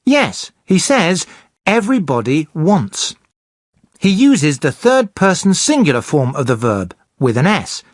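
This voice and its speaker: A presenter explaining English grammar slowly and clearly for beginners. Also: medium pitch, very animated, no room sound, studio quality. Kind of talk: scripted teaching narration.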